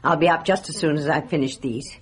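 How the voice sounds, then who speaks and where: An actress playing an older British village nurse in a radio drama, chatty, bustling and familiar. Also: female voice, deep voice, steady tone, brisk, close to an everyday voice. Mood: helpful, brisk. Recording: radio drama with faint background noise.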